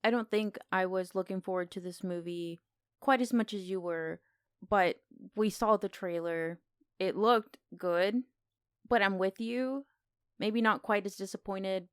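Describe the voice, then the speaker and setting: A woman's voice: medium pitch, smooth, no echo, clean studio sound. A woman casually talking through a film as a podcast co-host, in a conversational, musing way.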